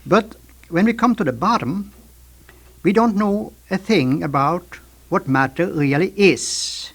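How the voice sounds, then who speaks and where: A man's voice: sits high, animated, measured pace, breathy edge. An older man, explaining ideas in an emphatic, lecturing way as a scientist guest on a radio discussion. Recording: 1950s archival radio recording.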